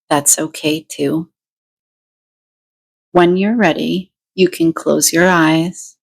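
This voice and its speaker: A woman leading a guided meditation, giving deliberate instructions in short phrases with pauses between them. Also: lowish voice, a little mellow.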